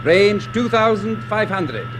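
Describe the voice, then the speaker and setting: An actor playing a cautious, dutiful second-in-command on a spaceship, formal and clipped, in 1950s radio drama style. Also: male voice, high voice, expressive, takes it slowly. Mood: crisp, dutiful. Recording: noisy old radio broadcast recording.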